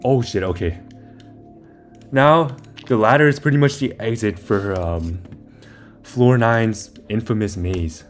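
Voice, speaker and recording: A man's voice: fairly low pitch, takes it slowly, faint music behind. A young man giving a casual, informal game walkthrough, rambling a little as he talks through each step while playing. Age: young adult. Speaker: video maker giving game guide.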